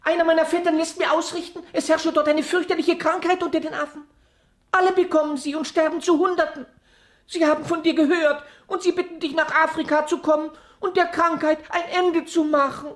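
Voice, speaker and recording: A woman playing an excitable, pleading chimpanzee messenger in a children's radio play. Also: extremely high pitch, fairly quick. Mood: urgent, pleading.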